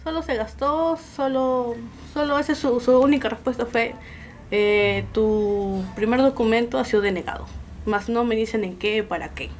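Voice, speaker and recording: A woman's voice: fairly high pitch, normal speed, slight background noise. A woman in her thirties with a Peruvian Spanish accent, answering interview questions earnestly, with hesitations, in a room at home. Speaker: interviewee, university graduate.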